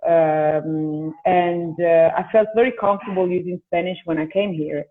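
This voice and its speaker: A woman's voice: lowish voice, steady tone, normal speed. Chatty woman over a tinny call. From Italy. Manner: chatty, with frequent restarts. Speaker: host and singer. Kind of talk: casual video-call chat. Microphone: video call. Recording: tinny phone-like call audio.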